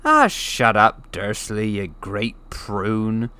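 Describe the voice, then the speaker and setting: A man's voice: fairly low pitch, very animated, takes it slowly, sharp tone, clear, resonant tone, full character voice. A man voicing a huge, brash, jovial bearded giant in a storybook reading, with folksy, colloquial speech and dropped endings. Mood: gruff, dismissive.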